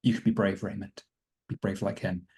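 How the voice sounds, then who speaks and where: A man's voice: sits low, flat delivery, moderate pace, a little mellow, lightly acted. A man playing a nervous, self-pitying doctor in a tabletop game, muttering hesitantly to himself as he steels his nerve. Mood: shaky resolve.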